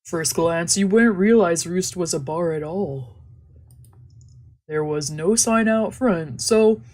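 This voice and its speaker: A woman's voice: lowish voice, slow, a little mellow. A young woman doing casual let's play commentary and reading a visual novel aloud, with slangy, sweary talk. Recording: music behind the voice.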